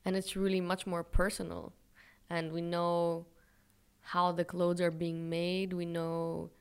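A woman's voice: on the low side, creaky, clear, resonant tone. A young woman from Israel, answering interview questions in a thoughtful, candid way with some fillers and light self-deprecating laughs. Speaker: interviewee, fashion brand founder.

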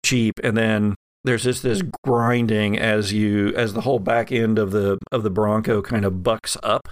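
A man working as game master, narrating an improvised tabletop horror game in halting, pieced-together descriptions. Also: fairly low pitch, steady tone, dry recording.

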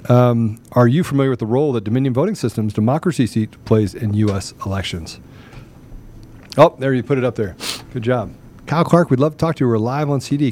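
A man's voice: mid-range voice, warm tone, slight background noise. A man hosting a live political talk show in a casual style, with a habit of repeating phrases for emphasis. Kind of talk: casual live talk, sometimes reading questions aloud.

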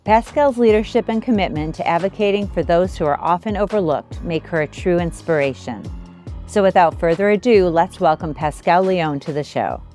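A woman co-hosting a podcast, reading a measured scripted introduction and asking questions. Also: lowish voice, warm tone, soft background music. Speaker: podcast co-host. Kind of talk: scripted intro, then interviewing.

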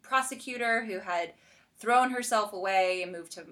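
Casting: female podcast host, informal and fannish, in relaxed conversation about TV with a guest. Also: mid-range voice, normal speed. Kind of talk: casual chat about TV shows.

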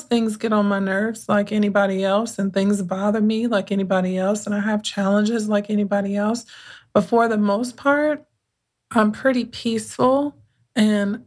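A woman's voice: medium pitch, fairly even intonation. Soothing-voiced woman podcast host. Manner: unhurried, conversational, with thoughtful pauses and restarts. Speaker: podcast host and mindset coach. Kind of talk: casual reflective podcast monologue.

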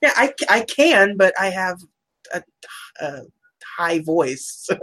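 A woman co-hosting a casual chat about old cartoons, chatty and quick to laugh, looking things up as she talks. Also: on the low side, big pitch swings, fairly bright tone. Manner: chatty, laughs often, looks things up aloud. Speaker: podcast co-host.

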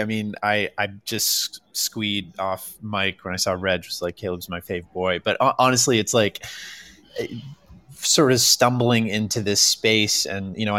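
A man speaking as a panel guest and creator, casual and reflective, candid and halting as he goes. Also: on the low side, fairly bright tone.

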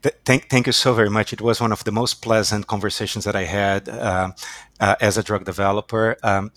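A man with a Brazilian accent, a company chief executive answering as a podcast guest in a halting, emphatic, repeating way. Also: lowish voice, little pitch movement, fairly bright tone, no echo. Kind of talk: unscripted podcast interview.

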